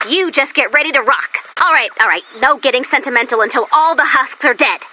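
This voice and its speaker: A woman voicing a perky, excitable young robot who guides the player through missions in a video game, chipper and quirky, eager then sheepish. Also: high-pitched, a little fast, dry recording, exaggerated voice. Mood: upbeat, focused. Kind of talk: video game character voice-over.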